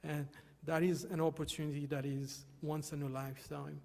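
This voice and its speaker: A man from Haiti, giving an earnest, halting stage talk with many fillers. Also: fairly high pitch, level tone, creaky, darkish tone. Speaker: volunteer speaker. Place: hall with an audience. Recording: long reverb of a large hall.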